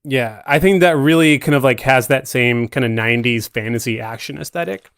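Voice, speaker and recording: A man's voice: medium pitch, a little fast, a bit bright, clean tone, dry recording, studio quality. A man talking casually as a podcast guest, musing about films with hesitant phrasing. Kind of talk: casual film discussion.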